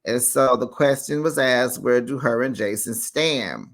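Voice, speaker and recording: A man in an easy, gossipy recap. His voice sits at an ordinary middle pitch. He jumps up in pitch to act out what others said, then drops back to his own talk. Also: a little slow, a bit bright. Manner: chatty, acts out quotes with raised pitch, laughs often. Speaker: recap show host. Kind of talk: casual recap commentary.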